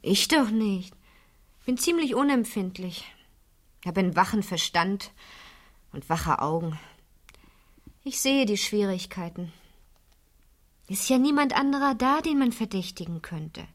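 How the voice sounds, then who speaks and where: A woman's voice: medium pitch, expressive, a little slow, long pauses, natural voice. An actress playing a precocious, blunt teenage girl in a radio crime drama, probing in pert, clipped phrases. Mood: defiant, self-assured. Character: precocious, blunt, stubborn fifteen-year-old girl.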